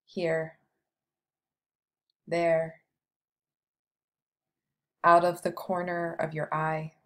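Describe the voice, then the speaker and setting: Slow, soft-spoken woman guiding meditation. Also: deep voice, completely flat delivery. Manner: slow, soft, soothing delivery with long pauses. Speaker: meditation guide. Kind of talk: guided meditation.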